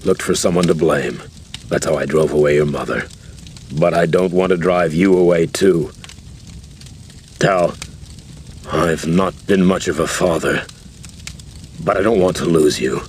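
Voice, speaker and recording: An actor playing a gruff, remorseful middle-aged soldier father in a video game, speaking in a halting, heavy way. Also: low-pitched, fairly even intonation. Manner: halting, heavy, self-reproaching. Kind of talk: video game cutscene acting. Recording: noisy game audio with ambience.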